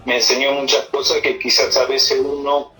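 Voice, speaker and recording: A middle-aged Argentine man speaks over a telephone with music in the background. He is being interviewed and recounts personal memories informally. His delivery is halting, broken by pauses and repeated words, at an ordinary male pitch. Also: flat delivery, sharp tone. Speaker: army senior non-commissioned officer being interviewed. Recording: phone call interview with music.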